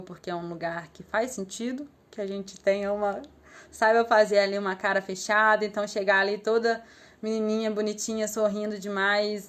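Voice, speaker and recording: A young adult woman with a Brazilian accent, telling her story in a reflective, casual way on a live stream, often trailing off with small fillers. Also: mid-range voice. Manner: thoughtful, rambling, with frequent "assim" and "né" fillers.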